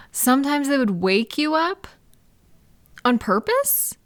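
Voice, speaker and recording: A woman's voice: high voice, big pitch swings, takes it slowly, full character voice. A woman playing a caring, chatty girlfriend in an intimate one-sided roleplay audio, talking affectionately and reassuringly to a partner. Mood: protective, concerned. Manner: soft-hearted, reassuring, playful, affectionate. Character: caring, gentle, chatty girlfriend comforting a night-owl partner.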